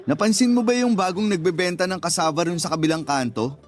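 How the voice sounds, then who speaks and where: A man's voice: high-pitched, quick pace, soft background music, natural voice. A man playing an earnest, tactless young suitor in a Tagalog radio drama, careful and apologetic as he explains himself. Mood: apologetic, explaining.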